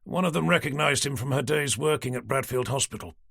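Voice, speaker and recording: A man's voice: mid-range voice, fairly quick, creaky, a bit bright, slightly rough, no room sound, studio quality. A man reading an audiobook, voicing a police detective inspector who stays polite while hiding impatience.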